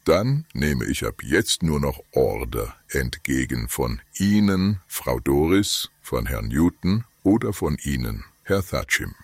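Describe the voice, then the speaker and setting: A man playing a stiff, haughty butler in a comedy radio play, speaking formally and with dry defiance in a gravelly, husky voice. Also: rumbling low, sing-song, takes it slowly, hardly any pauses, no echo, full character voice. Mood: coolly defiant.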